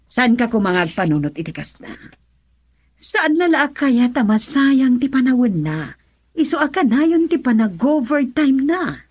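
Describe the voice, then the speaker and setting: Radio drama: reproachful middle-aged mother, swooping intonation, raspy edge. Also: high voice, big pitch swings, measured pace, darkish tone, exaggerated voice. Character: scolding, worried mother of a grown son.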